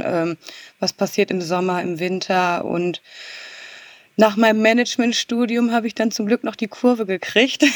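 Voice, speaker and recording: A woman in her thirties answers interview questions over a video call. She rambles in a relaxed way with plenty of "ähm" and easy laughter. Her voice is mid-pitched and sounds dry and close. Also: no room sound. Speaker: guest, garden designer.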